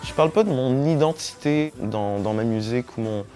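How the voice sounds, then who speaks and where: A man's voice: medium pitch, normal speed, over loud music. Hesitant Senegal-born man. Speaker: musician. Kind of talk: hesitant testimony.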